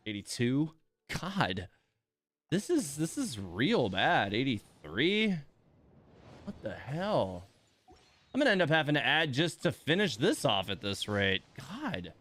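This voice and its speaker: A man livestreaming a game in a casual, grumbling way. His pitch jumps around a lot, and he takes his time between remarks. He sounds exasperated, with mild swearing and sighs. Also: big pitch swings, slow, pauses often, fairly bright tone. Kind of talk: casual livestream chat and reactions while playing.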